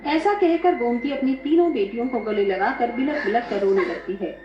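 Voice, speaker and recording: A woman's voice: a little high, normal speed. A woman narrating a scripted dramatic story. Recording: echoey, dull, music behind.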